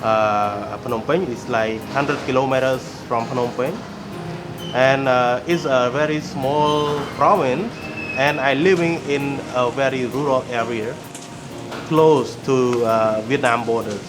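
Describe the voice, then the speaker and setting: Slow, halting Khmer-accented man. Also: medium pitch, fairly even intonation, over music. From Cambodia. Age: 30s. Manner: halting, searching for words. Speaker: former student interviewee. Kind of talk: documentary interview.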